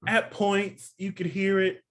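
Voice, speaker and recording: Sassy Texan man, slightly high voice. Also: level tone, slow, fairly bright tone. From Texas. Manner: chatty, sassy asides like "honey". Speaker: podcast co-host. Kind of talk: casual TV recap chat.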